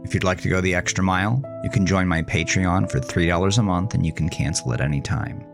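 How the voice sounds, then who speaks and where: A man's voice: low voice, fast talker, vocal fry, over music. A man speaking as a horror podcast host, reading a scripted sign-off.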